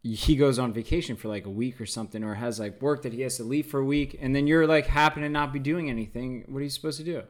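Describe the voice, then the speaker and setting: A man's voice: mid-range voice, fast talker, hardly any pauses. A man co-hosting a podcast in a casual chat, with joking, teasing banter. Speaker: podcast co-host.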